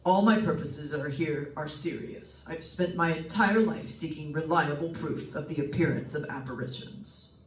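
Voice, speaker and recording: A woman's voice: low voice, normal speed, close to an everyday voice. A solemn psychic doctor played by a woman speaks with formal, portentous gravity in a radio suspense play. The voice sits back from the microphone in a roomy, echoing space. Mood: grave, formal. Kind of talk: old-time radio suspense drama acting. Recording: roomy, distant stage microphone pickup.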